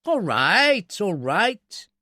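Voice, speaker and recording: A man's voice: high voice, wildly swooping pitch, takes it slowly, pushed and bright, no echo, clean studio sound, pitched above the performer's own voice, exaggerated voice. A man voicing a blustering, indignant military officer in an audiobook, with a pompous, sneering, emphatic manner. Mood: sullen bluster.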